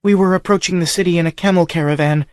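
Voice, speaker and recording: A man's voice: high-pitched, steady tone, fast talker, clear, resonant tone, no room sound, studio quality, voice pushed up, close to an everyday voice. A man reading an audiobook, voicing a desperate young noblewoman held captive, pleading in a breathless, urgent way. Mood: hurried, grieving. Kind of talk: audiobook character voice.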